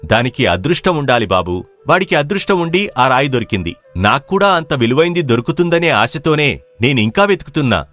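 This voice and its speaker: A man's voice: medium pitch, very animated, fairly quick, fairly bright tone. A man playing a humble, hopeful, long-struggling village stone hunter who talks deferentially in a moral story. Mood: humble, resigned. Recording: music playing behind the voice.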